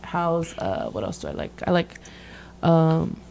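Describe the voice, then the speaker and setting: A woman's voice: deep voice, sing-song, unhurried, gravelly, a little mellow. A woman in her twenties hosting a podcast, chatting casually in a candid, reflective way. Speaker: podcast host, law student. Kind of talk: casual chat.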